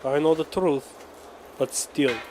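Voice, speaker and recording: A man's voice: on the high side, slow pace, darkish tone, slight background noise. A man playing a gloating, vengeful Russian official in an audio drama, with a theatrical delivery. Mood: stern, indignant.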